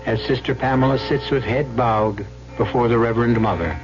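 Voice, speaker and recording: An actor as the solemn narrator of an old radio mystery drama, reading literary scripted narration on a muffled recording with music behind. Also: male voice, medium pitch, steady tone, normal speed, close to an everyday voice. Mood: somber.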